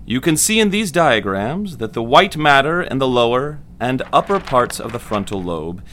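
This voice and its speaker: A man playing a confident 1940s lobotomist, giving a formal, measured and detached medical lecture with an authoritative manner. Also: medium pitch, very animated, a bit bright. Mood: detached, didactic. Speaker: actor playing a lecturing doctor.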